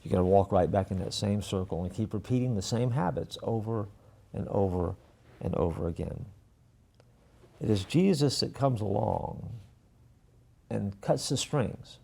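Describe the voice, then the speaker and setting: Gravelly, mellow man telling a folksy story. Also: on the low side. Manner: folksy, self-deprecating, trails off softly at phrase ends. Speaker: church storyteller host. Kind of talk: casual storytelling with a moral.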